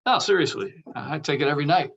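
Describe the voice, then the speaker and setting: A man acting a bright-voiced, teasing older buddy. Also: a little high, animated, fast, vocal fry, muffled recording, a little hiss or hum. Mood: concerned. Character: teasing, caring older male actor, old buddy. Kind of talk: casual table read of a comedy-drama script.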